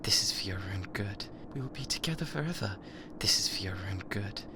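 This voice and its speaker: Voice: low voice, lively intonation, a little fast, noisy background. A performer with a British accent voicing a possessive, lovesick sentient AI in a binaural ASMR roleplay, with stilted formal phrasing that often slips into whispers. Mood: menacing, soothing. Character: possessive lovesick yandere spaceship AI, newly sentient. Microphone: close binaural microphone.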